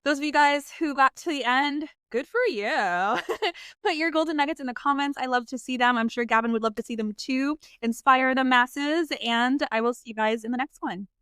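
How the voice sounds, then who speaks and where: A bubbly woman host chatting over a video call, her voice sitting a touch high. She gushes, laughs easily and keeps the talk upbeat. The sound is dry and close. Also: normal speed, a bit bright, clear, resonant tone, dry recording. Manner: bubbly, effusive, laughs often. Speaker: podcast host and sales coach. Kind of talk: casual interview chat.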